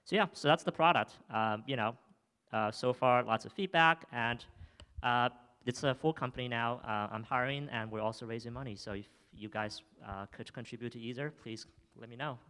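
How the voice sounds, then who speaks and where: A man's voice: mid-range voice, moderate pace, sharp tone, clear, resonant tone. A young man presenting his startup's product on a theater stage with a handheld mic, talking through a demo with many fillers and restarts, then answering audience questions. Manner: filler-heavy, frequent restarts, enthusiastic. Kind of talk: live demo talk and audience Q&A.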